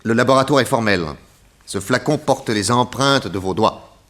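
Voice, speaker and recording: A man's voice: mid-range voice, normal speed, a bit bright, close to an everyday voice. A man playing a confident detective in a 1960s French radio drama, speaking in a theatrical, emphatic, methodical way. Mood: triumphant, assured. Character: confident male detective laying out an accusation.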